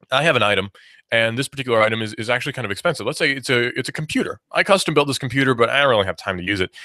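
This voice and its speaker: A young man in his twenties talks through his views on a radio show, looping back and restarting his sentences as he goes. His voice sits fairly low, and the sound is close and dry with no room echo. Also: fairly bright tone. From United States. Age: 20s. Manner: rambling, opinionated, many restarts and fillers. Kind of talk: casual talk radio monologue.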